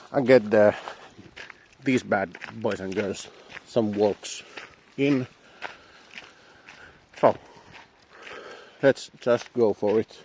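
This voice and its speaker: A man with a Finnish accent, Finnish as his first language, narrating a casual walk outdoors in halting English with wry, self-deprecating asides, recorded on a handheld camera. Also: medium pitch, a little hiss or hum. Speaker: vlogger walking his dogs. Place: outdoors on a boardwalk through a swamp. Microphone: handheld camera mic.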